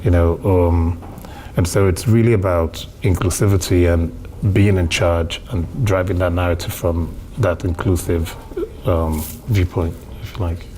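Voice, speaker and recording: A man from Africa, a curator, answering at a panel talk in a measured way, restarting as he builds his point. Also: sits low, steady tone, warm tone, a little hiss or hum, rough recording.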